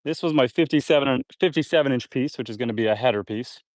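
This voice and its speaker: Homesteader man, casual live-stream chatter, a bit congested, mid-pitched, dry close sound. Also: fast talker, no echo. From Canada. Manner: relaxed, chatty, reads viewer comments aloud. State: a little congested. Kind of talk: casual live-stream chat while building. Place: outdoors on a homestead.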